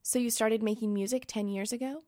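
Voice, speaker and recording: A woman interviewer asking polite, clear questions in a radio interview. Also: on the high side, fairly even intonation, brisk, mellow, faint music behind.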